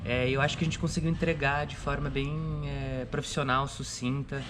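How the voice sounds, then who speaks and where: A Brazilian man talking haltingly in an interview, often ending phrases with a tag question. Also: medium pitch, little pitch movement. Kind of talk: interview talk. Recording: faint ambient noise.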